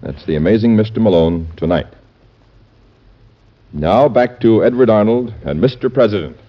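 A man's voice: fairly low pitch, lively intonation, normal speed. An American man reading a scripted promotional announcement in classic mid-century radio announcer style. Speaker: network announcer. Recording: dull vintage radio recording.

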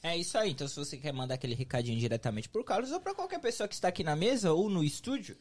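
A man's voice: a little high, very animated, very fast, darkish tone. A man co-hosting a podcast, talking upbeat and straight to the viewers. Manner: upbeat, addresses viewers directly. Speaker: podcast co-host.

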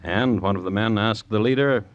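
A man's voice: lowish voice, normal speed, dull audio. A man giving grave, suspenseful scripted narration for an old-time radio drama.

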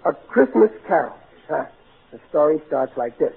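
An actor playing a blustering, soft-hearted working-class American family man, folksy and bumbling, in an old-time radio comedy. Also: on the high side, big pitch swings, normal speed, vocal fry, breathy edge, full character voice. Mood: earnest, coaxing. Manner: folksy, bumbling, exasperated, ungrammatical. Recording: tinny, noisy vintage radio recording.

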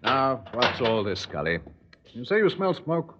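An actor playing a composed, authoritative museum official, measured and knowing, in old radio drama style. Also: male voice, mid-range voice, sing-song, noisy background. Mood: concerned. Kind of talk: 1960s radio drama acting. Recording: muffled, reverberant old radio recording.

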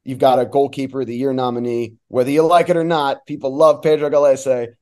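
A man's voice: mid-range voice, little pitch movement, fairly quick, mellow. A man talking as a sports announcer guest, giving emphatic, opinionated analysis in a conversational way.

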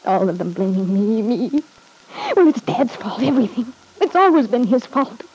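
A woman's voice: on the high side, expressive, normal speed, darkish tone, breathy edge. An actress in a classic radio soap opera playing a bitter, unstable daughter who taunts her father, on a noisy old recording. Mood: bitter, aggrieved.

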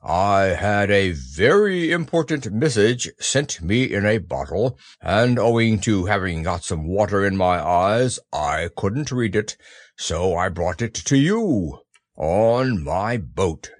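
A man's voice: fairly low pitch, very animated, slow, no room sound, clean studio sound, heavily acted. A man voicing a proud, simple-minded storybook bear with a growly voice and an earnest manner. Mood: proud, pleased.

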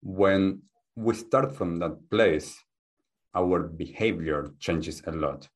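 Very deep-voiced man with a mixed accent. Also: slow pace. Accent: mixed, hard to place, Argentine-Spanish base. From Argentina. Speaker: podcast guest. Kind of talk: calm interview talk.

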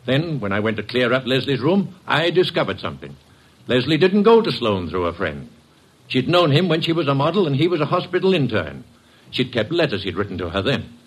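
Suspicious widower, husky, swooping pitch, emphatic, distraught, old-time radio mystery. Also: male voice, sing-song, brisk, rough recording. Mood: insistent, agitated. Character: distraught, suspicious widowed husband seeking justice. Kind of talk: classic radio drama acting.

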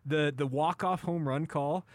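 A man's voice: a little high, steady tone, unhurried, dry recording. A man hosting a sports radio show in an upbeat, informal, chatty way. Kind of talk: casual sports talk radio chat.

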